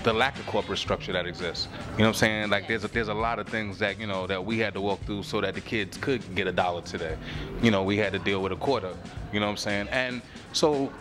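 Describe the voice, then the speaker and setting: A middle-aged man with a lowish voice speaks earnestly about his craft, filling his talk with "you know what I'm saying". He sits on a couch in a lounge and talks into a handheld mic. Loud music plays behind him. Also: fast. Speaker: rapper, interview guest. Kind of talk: casual interview chat. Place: lounge room on a leather couch. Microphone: handheld mic held by interviewer.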